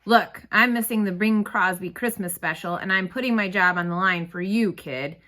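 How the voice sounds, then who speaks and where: A woman voicing a gruff, grudgingly kind male bus driver in a storybook read-aloud, with a brusque, wry, grumbling manner. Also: mid-range voice, moderate pace, bright, forceful tone, natural voice.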